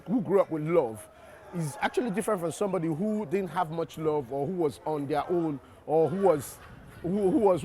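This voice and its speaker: Nigerian man, a slightly high voice, reflective. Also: warm tone. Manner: reflective, restarts and repeats words while explaining. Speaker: actor being interviewed. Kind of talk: interview answers.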